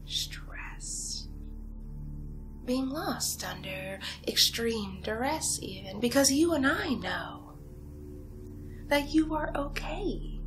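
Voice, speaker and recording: Girl doing a playful kidnapper villainess asmr, highish voice, whispery n teasing, possessive, really drawn out. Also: full character voice, poor audio. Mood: boastful, playful. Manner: slow, teasing, cutesy, drifting in and out of whispers. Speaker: ASMR roleplay performer. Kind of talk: ASMR roleplay, intimate and whispery.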